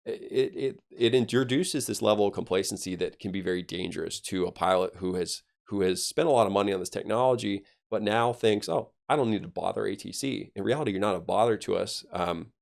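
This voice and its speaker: Low voiced guy with a lot of fry, air traffic controller explaining stuff emphatically on a podcast. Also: a little fast, hardly any pauses.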